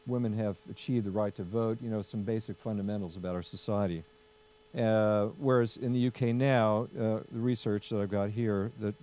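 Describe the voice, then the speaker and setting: A man's voice: on the low side, warm tone. A man hosting a casual political discussion, chatty and full of fillers, steering with questions. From United States. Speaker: host.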